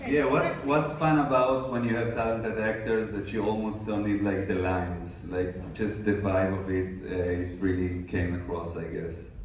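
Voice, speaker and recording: A man's voice: on the low side, steady tone, unhurried, hardly any pauses, a little hiss or hum. A young man from Israel with an Israeli accent, a filmmaker answering questions at a live Q&A, hesitant with many fillers. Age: young adult. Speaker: filmmaker guest. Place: large cinema stage. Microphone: handheld mic heard from the audience. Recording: echoey theater recorded from far.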